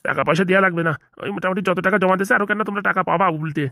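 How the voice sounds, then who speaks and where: Woman doing jocular male doctor, comedy cartoon, very rapid, dry close sound, colloquial, reassuring. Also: lowish voice, pushed and bright, no echo. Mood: casual, jocular.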